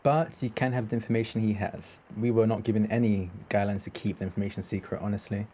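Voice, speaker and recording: A man plays a vampire information broker in a tabletop game. His voice is lowish and mellow. He is composed and businesslike, pitching deals with measured persuasion. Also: fairly even intonation, lightly acted. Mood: composed, persuasive.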